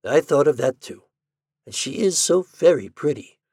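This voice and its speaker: A man acts out an old doctor from a storybook, fretful and kind. He pleads earnestly in a raised, husky voice that swings widely in pitch. The recording is dry. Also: animated, moderate pace, a little mellow, no echo. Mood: worried, pitying. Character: kindly, fretful, eager old male doctor. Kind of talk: audiobook character dialogue.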